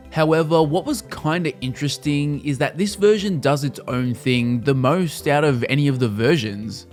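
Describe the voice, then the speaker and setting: A man reading a scripted video game review in a measured, explanatory and opinionated way. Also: mid-range voice. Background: music under the voice.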